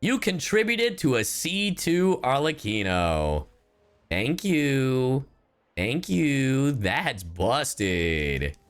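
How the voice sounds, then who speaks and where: A man live streaming a game, chatting casually in a slangy, sweary, expressive and excitable way. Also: medium pitch, drawn-out words. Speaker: livestreamer.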